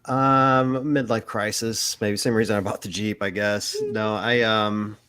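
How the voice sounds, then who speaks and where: A man's voice: lowish voice, fairly even intonation, unhurried, sharp tone, clean tone. A middle-aged man from Mississippi chatting casually and rambling a bit as co-host of a live Q&A. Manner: rambling, casual, swears freely.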